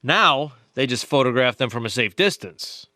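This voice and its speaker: A man's voice: medium pitch, animated, normal speed, sharp tone, no room sound, clean studio sound. A man playing an insistent, knowing research biologist in an audio drama, explaining things emphatically.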